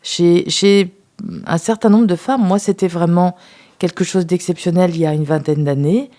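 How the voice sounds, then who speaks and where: A woman who is a sex therapist, a guest on a radio talk show, speaking in a measured, thoughtful way with some hesitation. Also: fairly low pitch, fairly even intonation, warm tone. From France.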